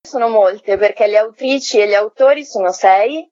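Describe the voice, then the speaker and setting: A woman's voice: medium pitch, normal speed, darkish tone, no echo. A woman curator explaining an exhibition as a guest in a radio interview. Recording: dry, dull lo-fi call.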